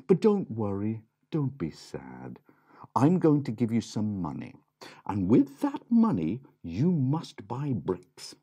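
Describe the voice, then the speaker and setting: A man's voice: wildly swooping pitch, a little slow, mellow, slightly rough, full character voice. A man voicing a kindly, tearful mother pig who fusses over her sons. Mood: apologetic. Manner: fussing, high and motherly.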